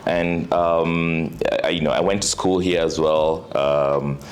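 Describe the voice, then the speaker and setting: Deep-voiced man, relaxed guest. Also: takes it slowly. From Kenya. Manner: relaxed, chatty with frequent fillers and laughs. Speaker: venture capital investor, guest. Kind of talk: casual interview conversation.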